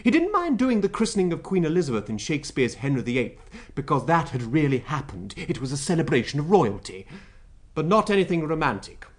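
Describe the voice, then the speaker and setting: Animated, eloquent British royal secretary. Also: male voice, fairly high pitch, fairly quick. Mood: wry, expansive. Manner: rhetorical, expansive, emphatic. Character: talkative, wryly amused royal private secretary. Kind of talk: BBC radio drama acting.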